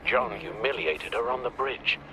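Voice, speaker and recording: A man playing a cautious, concerned adviser to a crime fighter in a video game, speaking in measured warnings. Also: medium pitch, animated, background noise, lightly acted.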